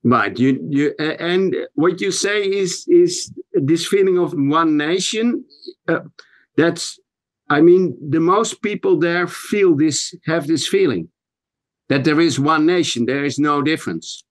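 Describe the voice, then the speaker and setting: A Dutch-accented man conducts an interview in English, stalling and restarting his questions as he searches for words. His voice sits fairly high for a man. The sound is dry and close, with no room echo. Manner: halting, repeats words while searching for phrasing. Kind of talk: conversational interview.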